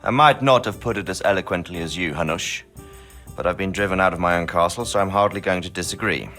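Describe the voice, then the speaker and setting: A man playing a courteous, composed nobleman in a video game, measured, wry and well-spoken. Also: low-pitched, pushed and bright, soft background music. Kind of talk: video game cutscene acting.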